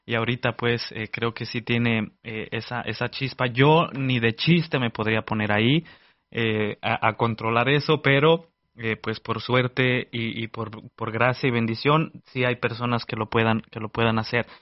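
A man hosting a radio talk show, measured, with frequent hesitations. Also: mid-range voice, steady tone, dry recording, highs cut off. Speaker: radio host.

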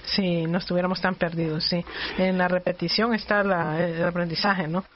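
A program co-host thanking the priest and chatting in a friendly, halting way, often repeating words. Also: medium pitch, level tone, muffled recording, a little hiss or hum. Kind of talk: casual studio chat.

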